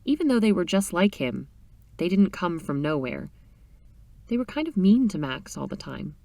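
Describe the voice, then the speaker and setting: A woman reading a short story aloud in an expressive, dramatic storytelling style. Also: on the low side, normal speed, vocal fry, no room sound, far from the mic. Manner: animated, dramatic reading with character voices.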